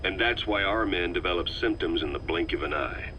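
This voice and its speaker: An actor playing a gruff, skeptical military officer in a video game, questioning bluntly, with faint noise behind him. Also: male voice, low-pitched, a little fast, pushed and bright, poor audio. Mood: skeptical, troubled. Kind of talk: dramatic video game dialogue.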